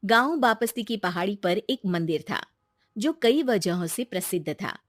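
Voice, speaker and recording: A woman's voice: medium pitch, animated, measured pace, dry recording, studio quality. A woman reading scripted narration for a children's moral story.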